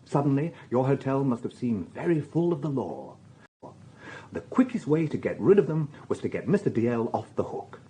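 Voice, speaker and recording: An actor playing a dry, insistent police inspector with a British accent, questioning in a measured, sardonic way in a radio drama. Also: male voice, mid-range voice, big pitch swings, mellow, a little hiss or hum, lightly acted, low-quality recording. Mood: dry, probing.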